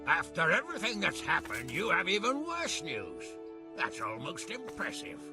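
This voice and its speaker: An actor playing a gruff, hot-tempered medieval lord in a game, with a raspy, gravelly voice and blustery manner. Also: male voice, high-pitched, huge pitch swings, normal speed, over music, heavily acted. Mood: sardonic, astonished. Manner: blustery, sardonic. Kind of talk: video game cutscene acting.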